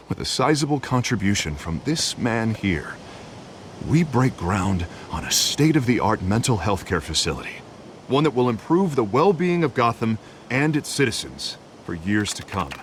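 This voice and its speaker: A man's voice: fairly low pitch, slight background noise. An American man playing a confident, folksy city politician in a video game, with a polished public-speaking manner. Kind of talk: video game dramatic acting.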